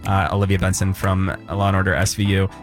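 A man's voice: low voice, monotone, faint music behind. A male radio host from outside Chicago, chatting and teasing his co-host in easygoing on-air banter. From outside Chicago, USA. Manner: chatty, emphatic, quick to tease.

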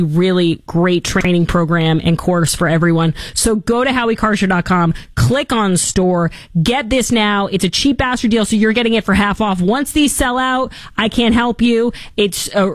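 A woman's voice: medium pitch, moderate pace, hardly any pauses, no room sound. A woman hosting a talk radio show, upbeat and promotional, interviewing a caller. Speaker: radio host.

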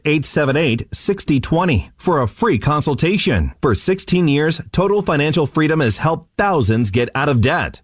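A man's voice: fairly high pitch, no echo, clean studio sound. A man reading a radio commercial in an urgent, pushy sales tone. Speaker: ad announcer.

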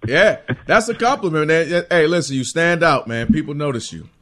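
A man's voice: a little high, moderate pace, fairly bright tone. An American man co-hosting a casual sports talk radio show in an informal, conversational way.

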